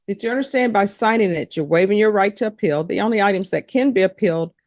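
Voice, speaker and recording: A woman's voice: medium pitch, quick pace, darkish tone, no echo, clean studio sound. A woman judge questioning people formally and procedurally in a courtroom. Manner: procedural, spells out names. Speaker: judge presiding over a court hearing.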